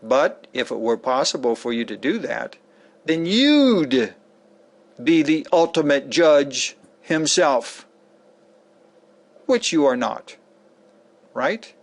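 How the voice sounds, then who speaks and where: A man giving a dramatic, sermon-like scripted lecture reading, with emphatic, deliberate phrasing and a theatrical delivery. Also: on the high side, lively intonation. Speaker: author reading a sample of an e-book lecture.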